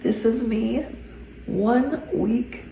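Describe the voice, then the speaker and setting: A woman who has nearly lost her voice to laryngitis, whispering a slow, strained personal video update. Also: fairly high pitch. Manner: slow, strained, breathy delivery. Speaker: inspirational speaker and channel host. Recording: echoey room, faint background noise.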